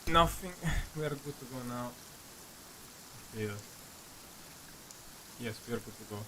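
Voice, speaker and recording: A man doing casual live game commentary as a streamer, halting and self-deprecating in how he talks. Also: medium pitch, noisy background. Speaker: game livestreamer. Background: game music.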